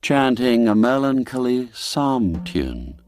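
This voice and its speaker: A man narrating a classic literary tale in a measured, expressive storytelling manner. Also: mid-range voice, gravelly. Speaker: audiobook narrator.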